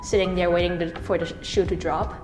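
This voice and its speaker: A woman from Canada with a Canadian accent, co-hosting a community radio show in lively casual chat full of fillers. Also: fairly low pitch, fast talker, reverberant, big-room sound, over music. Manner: chatty, animated, many fillers. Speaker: radio co-host.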